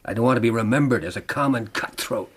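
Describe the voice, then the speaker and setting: An actor playing a gravely ill, defiant older man telling his life story in a grave, deliberate, dramatic way for radio drama. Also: medium pitch, slight background noise, lightly acted. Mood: grave, intense.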